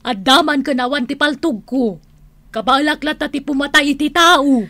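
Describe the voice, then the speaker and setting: Tough scolding Ilocano auntie, high-voiced. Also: female voice, normal speed, pushed and bright, dry recording. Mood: stern, threatening. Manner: stern, threatening. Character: tough, scolding elder woman who threatens with shotgun. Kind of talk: radio drama acting.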